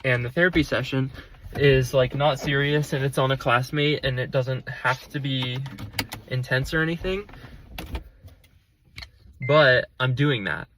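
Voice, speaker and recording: A man's voice: mid-range voice, fairly even intonation, measured pace, long pauses. A sick young man with a nasal voice, rambling and correcting himself in a casual vlog while he drives. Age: young adult. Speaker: vlogger. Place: car on the road. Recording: car noise behind voice.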